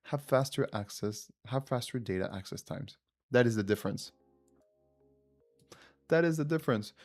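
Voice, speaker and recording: A man's voice: mid-range voice, lively intonation, normal speed, darkish tone, soft background music. French-accented man hosting a casual quiz. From France. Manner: chatty, thinks aloud, talks to viewers. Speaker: livestream host. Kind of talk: casual live quiz chat.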